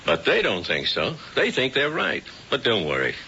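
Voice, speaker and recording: An actor playing a blunt, reassuring police detective in a 1970s radio drama. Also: male voice, medium pitch, wildly swooping pitch, normal speed, vocal fry, bright, forceful tone, a little hiss or hum, full character voice. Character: calm male police detective. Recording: dull old radio broadcast.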